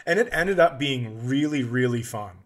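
A man's voice: mid-range voice, lively intonation, moderate pace. A man hosting a podcast, telling a casual comedic story in a profane, exasperated way and acting out the dialogue. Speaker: podcast host.

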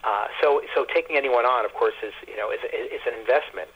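Halting man on a muffled, husky-sounding line. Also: medium pitch. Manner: halting, repeats words as he thinks. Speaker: guest author and publisher. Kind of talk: radio interview conversation.

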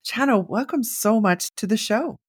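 A woman's voice: a little high, normal speed, slightly rough, no echo, clean studio sound. A woman co-hosting a recruitment podcast, asking direct, friendly interview questions. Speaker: podcast co-host.

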